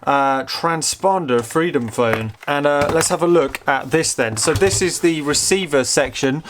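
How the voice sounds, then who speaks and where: A British man giving casual, excitable hands-on commentary as he tinkers with gadgets, thinking aloud informally. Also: on the high side, measured pace, noisy background. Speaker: host of a vintage technology museum channel.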